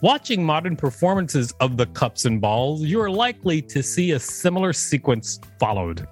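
A man's voice: on the high side, very animated, normal speed, faint music behind. A man, co-hosting a podcast, reading an article aloud and chatting casually about it. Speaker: podcast co-host.

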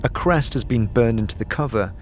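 A man giving scripted second-person fantasy narration in a measured, dramatic storytelling manner. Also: medium pitch, slight background noise. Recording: clear music behind.

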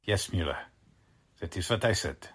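Radio drama role: imperious spymaster, husky edge, highly animated pitch. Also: male voice, heavily acted. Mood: coolly insistent. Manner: imperious, theatrical, deliberate. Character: haughty, scheming German military officer and Nazi spymaster. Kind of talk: 1940s radio drama acting.

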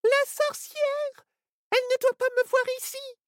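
A man voicing a tiny frantic squirrel in a storybook reading, with a squeaky, urgent voice. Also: level tone, unhurried, fairly bright tone, no room sound, clean studio sound. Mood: panicked.

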